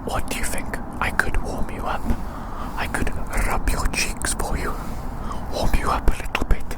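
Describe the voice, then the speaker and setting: Casting: ASMR performer for whispered roleplay rambling, playful and teasing, repeating phrases softly. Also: normal speed. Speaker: ASMR video creator. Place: outdoors in winter woods.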